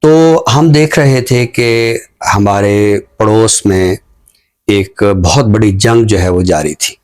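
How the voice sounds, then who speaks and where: A middle-aged man speaks in a fairly low voice with a Pakistani accent. He sounds like a thoughtful commentator in conversation, setting out his points deliberately and pausing partway through sentences. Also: a little mellow. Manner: explanatory, deliberate, with pauses mid-sentence. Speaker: literary talk show commentator. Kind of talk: reflective social commentary in conversation.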